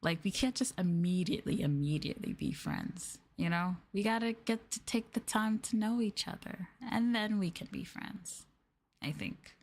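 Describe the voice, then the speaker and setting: A woman streamer chatting casually in a soft, affectionate, gushing ASMR style, close to the microphone and sometimes near a whisper. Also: mid-range voice, moderate pace. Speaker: ASMR streamer, VTuber host. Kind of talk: ASMR soft talking, casual chat with viewers.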